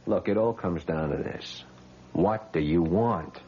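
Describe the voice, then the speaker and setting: A man's voice: deep voice, unhurried, warm tone, husky. An actor playing a blunt, wary working-class young man in an old radio drama, hesitant, stammering and slangy. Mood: nervous, suspicious. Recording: muffled vintage radio recording.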